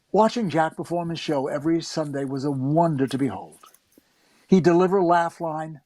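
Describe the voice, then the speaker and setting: Guy acting as wry old-time radio writer telling his story, conversational, lightish pitch, dry studio sound. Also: a little mellow, no echo, close to an everyday voice. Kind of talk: scripted first-person narration in a radio play.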